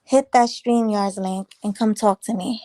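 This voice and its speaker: A woman hosting a casual morning livestream, chatting and reading viewer comments, her voice a little raspy this morning. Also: mid-range voice, steady tone, unhurried, mellow, a little hiss or hum. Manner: chatty, rambling, teasing viewers. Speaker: livestream host.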